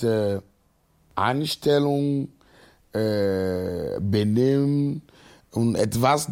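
A man, telling his story slowly and hesitantly in an interview. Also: medium pitch, a little mellow. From Togo. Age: 30s. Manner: hesitant, many fillers, non-native grammar. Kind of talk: slow, halting personal account.

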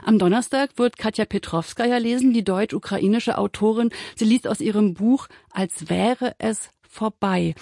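A radio host leads an interview with calm, measured questions. The voice has a gravelly, creaky texture and sits at a middle pitch. The sound is dry and close. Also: no echo. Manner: composed questioning. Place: radio studio.

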